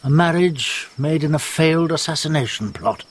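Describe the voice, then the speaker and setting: An actor playing a wry storyteller recounting family history in a radio drama, measured and amused over music. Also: male voice, fairly high pitch, breathy edge. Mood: wry, reminiscing. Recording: music bed under narration.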